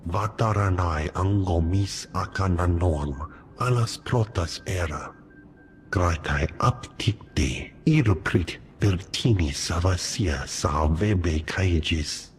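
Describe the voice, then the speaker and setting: An actor playing a male alien informant who speaks only an alien tongue, in an unhurried, chanting way. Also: low-pitched, faint music behind. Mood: alien chatter. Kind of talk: video game voice acting.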